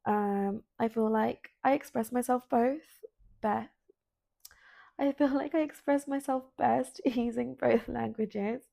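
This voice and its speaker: A woman born in London with Spanish as her first language, hosting a solo spiritual podcast in a soft, hesitant, earnest way with many ums. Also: on the high side, slow, gravelly, no room sound, studio quality. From Colombian, born in London. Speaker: podcast host. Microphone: self-filmed at home.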